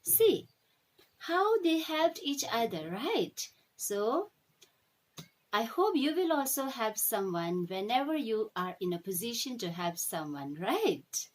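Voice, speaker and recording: A woman teacher reading a storybook aloud to children, pausing to check in with short questions. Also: a little high, very animated, rough recording. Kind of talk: animated storybook read-aloud.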